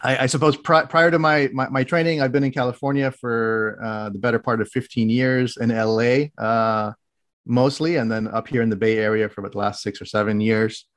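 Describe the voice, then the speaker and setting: A man's voice: mid-range voice, steady tone, a little fast. A man from the Middle East talking as a podcast guest about his engineering background, explaining in detail and restarting his phrases often. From grew up in the Middle East. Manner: explanatory, many restarts and fillers, precise technical wording. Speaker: guest, health tech director. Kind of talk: casual interview talk.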